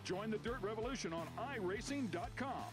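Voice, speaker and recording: A man's voice: high-pitched, animated, brisk, music in the background. A man reading a scripted promo for a racing game in an upbeat sales tone. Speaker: advertisement announcer. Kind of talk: scripted ad read.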